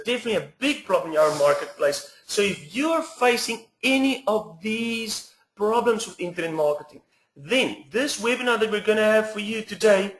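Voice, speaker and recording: A man in his thirties from South Africa with a South African accent, hosting a webinar in an earnest, persuasive way with pauses to think. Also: high voice, echoey room, rough recording. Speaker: webinar host. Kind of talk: webinar presentation.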